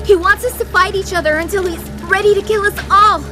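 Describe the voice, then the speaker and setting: A woman's voice: very high-pitched, steady tone, a little fast. An actress playing a frantic, pleading teenage superhero girl in a video game. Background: music.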